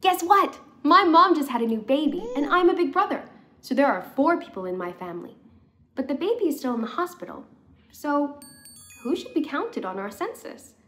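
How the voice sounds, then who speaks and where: A woman's voice: high voice, big pitch swings, normal speed, fairly bright tone, a little hiss or hum, voice pushed up. A young woman reading the part of a cheerful young boy, a proud big brother, in a children's storybook. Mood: cheerful, excited.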